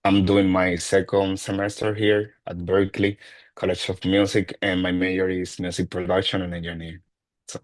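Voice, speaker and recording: Deep flat-voiced man from Dominican Republic. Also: normal speed, no room sound. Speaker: music student. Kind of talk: self-introduction.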